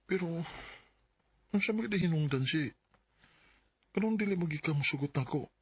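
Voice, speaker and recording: A man's voice: fairly high pitch, expressive, normal speed, husky, no echo. A Filipino man with a Bisaya accent playing an earnest, insecure young suitor in a radio drama, speaking hesitantly and pleadingly.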